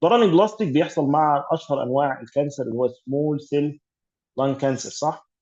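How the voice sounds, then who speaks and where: A fast-paced Egyptian man talks through exam cases with many pauses and fillers. His voice is dark and mellow with a breathy, husky edge. The sound comes through a dull, lo-fi call line. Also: a little high. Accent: Egyptian Arabic. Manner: explanatory, mixes English medical terms, many fillers. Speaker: radiology tutor. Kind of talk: online exam revision teaching.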